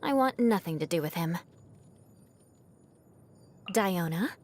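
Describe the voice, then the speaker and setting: A woman voicing a proud young noblewoman knight in a video game, composed and haughty, with formal diction and a grudge-holding edge over a softer heart. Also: on the low side, animated, slow. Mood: disdainful. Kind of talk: scripted video game character voice lines.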